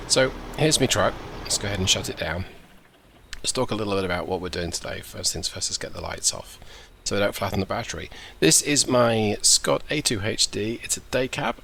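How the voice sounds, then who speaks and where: A man hosting his gaming channel, chatting casually through his gameplay and thinking aloud as he goes, with a croaky voice. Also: on the low side, lively intonation, a little fast, bright, forceful tone, slight background noise. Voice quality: croaky, gravelly.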